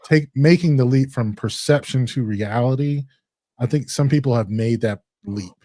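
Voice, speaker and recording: A man from Chicago with a deep, rich voice, co-hosting a casual chat in a measured, reflective way. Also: mellow, no echo. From Chicago, USA. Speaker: co-host.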